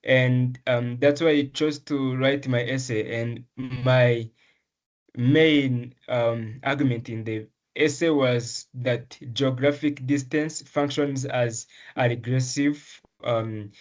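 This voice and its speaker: Young man from Rwanda, mid pitch, earnest and measured, many ums and restarts. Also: steady tone. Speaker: medical student, essay prize winner. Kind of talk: interview answers.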